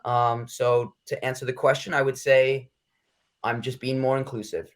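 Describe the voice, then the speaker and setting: A man talks through his interview answers in a loose, rambling way. He hedges and restarts often, filling gaps as he goes. His pitch sits in an ordinary middle range for a man. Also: little pitch movement, moderate pace. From United States. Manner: rambling, self-conscious, hedging with fillers. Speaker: interview guest. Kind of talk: casual interview chat.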